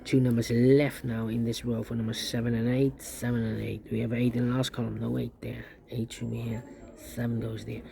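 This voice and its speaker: Mellow, gravelly man talking through a puzzle. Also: medium pitch, little pitch movement, fairly quick. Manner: repetitive, muttering phrases to himself. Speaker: puzzle instructor. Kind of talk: step-by-step sudoku tutorial, thinking aloud.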